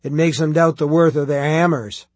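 A man voicing a huge, imperious but good-humored prioress in an audiobook, with a rolling, booming voice and archaic formal speech. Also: fairly even intonation, normal speed, no echo, close to an everyday voice. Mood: wry, hospitable.

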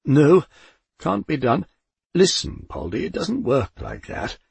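A man's voice: on the high side, big pitch swings, slow pace, gravelly, darkish tone, slightly rough, dry recording, voice pushed up, full character voice. A man voicing Death as a nervous, fussy, apologetic clerk of a figure in an audiobook. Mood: flustered. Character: nervous, lightly built male Death with clipboard.

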